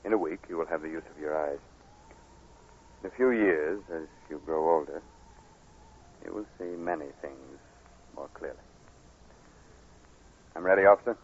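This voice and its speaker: Casting: grave older doctor with a dark secret, deep measured voice, vintage radio sound. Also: male voice, slight background noise. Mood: resigned. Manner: measured, solemn. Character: grave older male surgeon, a guilty but principled murderer. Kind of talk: 1940s radio acting. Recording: muffled 1940s radio recording.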